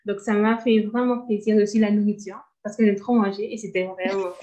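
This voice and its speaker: A woman's voice: medium pitch, flat delivery, a little fast, mellow. A young woman, a former intern on a panel, giving an enthusiastic, casual personal account.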